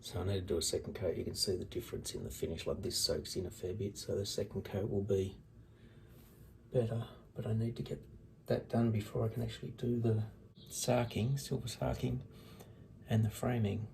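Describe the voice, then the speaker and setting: Hoarse, gravelly man vlogging from his car. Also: fairly low pitch, steady tone, a little fast, dark tone. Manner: plain, matter-of-fact talk with restarts. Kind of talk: casual vlog narration. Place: in a car, then outdoors in a yard. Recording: noisy car and outdoor background.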